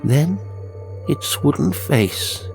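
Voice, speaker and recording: A man reading a horror audiobook as narrator, with deliberate, suspenseful, dramatic storytelling. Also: a little high, very animated, creaky. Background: music and sound effects.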